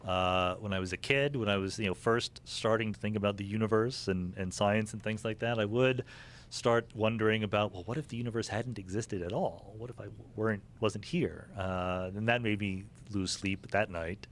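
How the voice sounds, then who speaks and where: A man calmly answering in a podcast interview, even-keeled, with many hesitant fillers. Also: fairly low pitch, moderate pace. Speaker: podcast guest.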